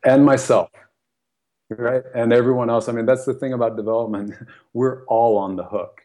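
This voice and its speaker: A man's voice: medium pitch, fairly even intonation, normal speed, dark tone. An American man, a guest author, talking earnestly and thoughtfully in a podcast conversation, often laughing and saying "you know". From United States.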